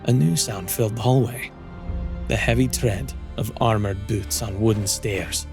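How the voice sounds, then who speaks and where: A man reading scripted dramatic fantasy narration as a storyteller, in a measured, solemn manner. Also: on the low side, fairly even intonation, creaky, music in the background.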